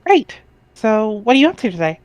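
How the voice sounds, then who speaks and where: An amateur reader voicing a cheerful, curious teenage girl in a dramatic reading, with bright, exclamatory delivery. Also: medium pitch, big pitch swings, normal speed, no room sound, muffled recording, pitched above the performer's own voice, close to an everyday voice. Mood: friendly, upbeat.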